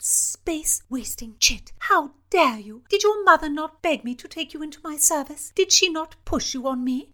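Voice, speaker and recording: A woman voicing a haughty young princess who scolds and barks orders in a high, loud voice. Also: heavily acted. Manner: imperious, scolding, commanding.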